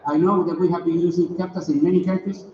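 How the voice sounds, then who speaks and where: Chilean man, halting conference presenter; distant echoey hall, noisy dull audio. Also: high voice, steady tone. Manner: hesitant, repeats words while explaining slides. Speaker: sonar industry presenter.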